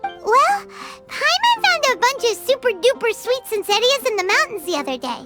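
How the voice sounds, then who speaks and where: A woman voicing a small, cheeky, excitable fairy girl with a squeaky childlike voice in an anime-style game, speaking of herself in the third person. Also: moderate pace. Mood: eager. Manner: refers to self in third person, exclamatory. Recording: music behind the voice. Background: loud game music.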